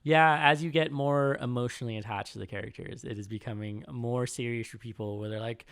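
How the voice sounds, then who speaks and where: Smooth-voiced chatty man in his twenties. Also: mid-range voice, fairly quick, no room sound, clean studio sound. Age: 20s. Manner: rambling, heavy on "like", animated retellings. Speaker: podcast co-host. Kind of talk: casual chat.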